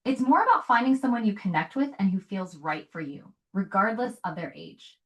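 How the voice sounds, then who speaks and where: Calm measured woman giving advice. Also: mid-range voice. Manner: calm, measured, paraphrases and asks follow-up questions. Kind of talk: conversational advice.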